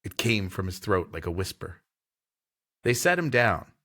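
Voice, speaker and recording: A man's voice: deep voice, sing-song, unhurried, fairly bright tone. A man who hosts and reads a pulp story aloud in a dramatic narrating style. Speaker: host and story reader.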